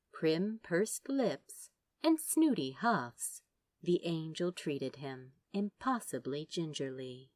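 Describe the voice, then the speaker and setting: A woman narrating a piece of fiction from a script in an expressive storytelling style. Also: lowish voice, no room sound. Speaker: podfic narrator.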